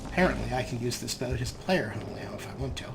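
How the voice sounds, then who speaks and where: A man's voice: mid-range voice, animated, fast. Wry man over noisy game ambience. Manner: wry, sarcastic. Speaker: let's play host. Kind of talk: casual gameplay commentary.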